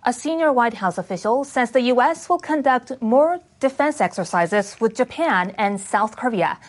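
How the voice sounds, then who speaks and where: Higher-voiced woman reading radio news. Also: lively intonation, moderate pace. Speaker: news reader.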